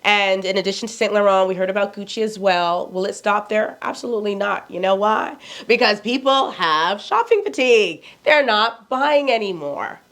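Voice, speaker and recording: A woman's voice: mid-range voice, animated, moderate pace, few pauses, fairly bright tone. Blunt Nigerian-accented woman in her forties. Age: 40s. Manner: blunt, candid, chatty with rhetorical questions. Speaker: fashion vlogger. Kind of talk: casual chat to camera.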